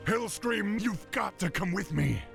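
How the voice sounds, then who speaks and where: An actor playing a noble young orc war chief in a video game cutscene, with grave, forceful, theatrical delivery. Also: male voice, high-pitched, expressive, measured pace, gravelly, faint music behind, exaggerated voice. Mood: urgent, outraged.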